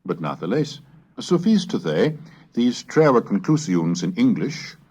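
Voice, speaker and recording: A man reading scripted scholarly material aloud in a deliberate, declamatory manner, like a lecturer on a recorded course. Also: fairly low pitch, big pitch swings, darkish tone, breathy edge. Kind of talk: scripted narration and dramatic reading of Middle English texts. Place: recorded narration on cassette. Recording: dull, lo-fi 1970s cassette recording.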